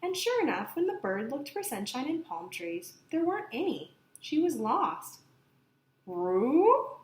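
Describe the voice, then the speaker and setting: A woman reading a children's picture book aloud with expressive, dramatic emphasis. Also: high voice, normal speed. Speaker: storybook reader. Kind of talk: animated children's read-aloud narration. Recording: echoey room, slight background noise.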